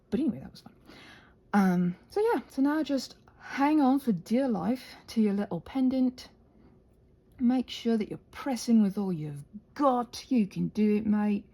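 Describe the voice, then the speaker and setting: A woman hosting a casual, rambling craft tutorial in a halting, chatty way with playful, self-deprecating asides. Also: fairly high pitch, dark tone.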